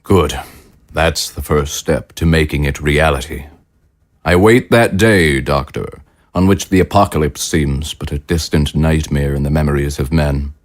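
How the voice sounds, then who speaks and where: Man acting a pompous, deep-voiced government official. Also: a little slow. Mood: grandly fervent. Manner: pompous, oratorical, formal. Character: grandiose older government official, visionary and smoothly menacing. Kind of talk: scripted audio drama acting.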